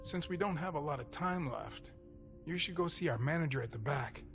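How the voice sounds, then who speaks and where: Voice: medium pitch, expressive, moderate pace, close to an everyday voice. An actor playing a curt, matter-of-fact vampire salon worker in a video game. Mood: businesslike, uneasy. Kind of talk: video game drama acting. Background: game music.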